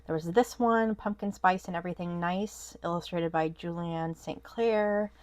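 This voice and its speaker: Woman, low voice, nasal from a cold, casual desk chat, lots of ums. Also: steady tone, moderate pace, darkish tone, no room sound. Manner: chatty and rambling, many ums and restarts. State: stuffy nose from a cold. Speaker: vlog host. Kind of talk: casual haul vlog chat. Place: at a home desk.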